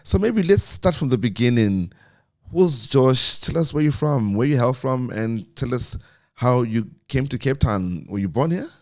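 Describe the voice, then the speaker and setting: A man hosting a podcast, chatty and excitable, often tripping over his words. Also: medium pitch, normal speed. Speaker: podcast host. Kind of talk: casual chat.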